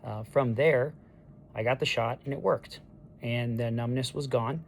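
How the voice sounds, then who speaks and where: A man in his thirties with a hoarse voice the day after neck surgery, talking through a casual video diary in a halting way, full of ums and restarts. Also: medium pitch, slight background noise. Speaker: patient sharing a recovery diary. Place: hospital room on discharge day.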